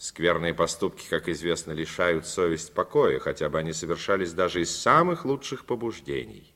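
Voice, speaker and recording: A man's voice: low voice, lively intonation, moderate pace, sharp tone. A man giving scripted dramatic narration in a 1950s radio play. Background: music.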